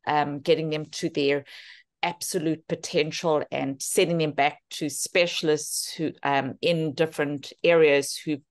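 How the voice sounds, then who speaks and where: Low-pitched South African woman. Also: fairly even intonation, measured pace. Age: 50s. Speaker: physiotherapist and therapy program co-founder. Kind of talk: webinar talk, self-introduction.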